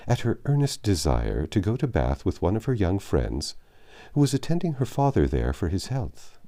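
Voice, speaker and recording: A man voicing a grave, sorrowful middle-aged English gentleman in a classic novel, speaking formally and earnestly, with some halting. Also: sits low, gravelly, heavily acted. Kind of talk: dramatic audiobook reading of classic novel.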